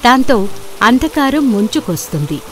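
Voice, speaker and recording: A woman's voice: a little high, very animated, normal speed, slight background noise. A woman narrating a scripted folktale as a storyteller. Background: background music.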